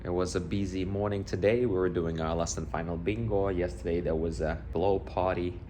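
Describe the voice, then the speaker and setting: Deep-voiced Ukrainian man vlogging. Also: fairly even intonation, brisk, mellow, slight background noise. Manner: chatty, slightly broken English, upbeat. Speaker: assistant cruise director vlogging. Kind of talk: casual vlog narration. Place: on a cruise ship, often on open deck. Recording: music playing behind the voice.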